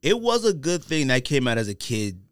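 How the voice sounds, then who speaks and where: A man's voice: mid-range voice, very animated, brisk, clean tone, no echo. Casual man talking on a podcast, close and dry. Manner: informal, slangy, opinionated. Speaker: podcast host. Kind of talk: casual sneaker podcast chat.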